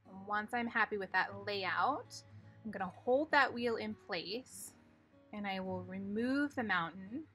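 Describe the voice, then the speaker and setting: A woman with a bright, clear voice talks through a card-making project step by step, calm and methodical. Soft music plays faintly under her voice-over. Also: mid-range voice, measured pace. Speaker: craft tutorial host.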